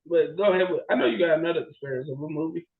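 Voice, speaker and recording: Male podcast co-host, high voice, rambling and animated, casual conversational delivery. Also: racing, narrow, tinny audio. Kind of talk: casual chat about bad movies.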